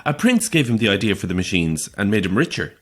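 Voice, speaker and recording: A man's voice: fairly low pitch, very animated, fast. A man playing a sceptical, grumbling holidaymaker in a naturalistic audio horror drama, with a dry, terse, questioning manner. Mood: intrigued. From Ireland.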